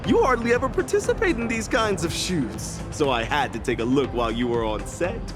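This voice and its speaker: A man's voice: a little high, very animated, brisk. A man voicing a sly male show producer in a video game, talking in a teasing, theatrical way and chuckling between lines. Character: sly showman producer, mocking and persuasive. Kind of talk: video game cutscene voice acting. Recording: music playing underneath.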